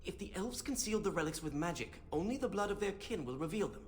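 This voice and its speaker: A fussy young scholar mage from a fantasy game. His pitch moves around a lot as he explains things in an earnest, pedantic, slightly timid way. There is faint noise behind him. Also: expressive, fast talker. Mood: hesitant, explaining. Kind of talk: video game character acting.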